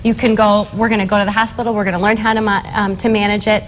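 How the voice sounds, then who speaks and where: A woman's voice: mid-range voice, little pitch movement, fast talker, noisy background. A middle-aged woman speaking as a television interview guest, conversational and matter-of-fact, with short emphatic phrases.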